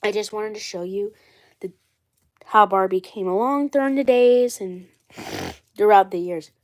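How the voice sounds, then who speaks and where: A woman in her mid-twenties talks casually on a phone livestream, her voice froggy and hoarse from a cold. She rambles, circles back and repeats herself, sometimes a little defensive. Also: medium pitch, unhurried. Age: 20s. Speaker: doll collector livestreaming.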